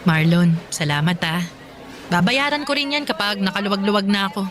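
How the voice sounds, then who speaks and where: A woman's voice: mid-range voice, lively intonation, brisk, natural voice. A woman playing a busy, practical mother, firm but loving, in a Filipino radio drama. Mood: asking, grateful. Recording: busy crowd noise behind.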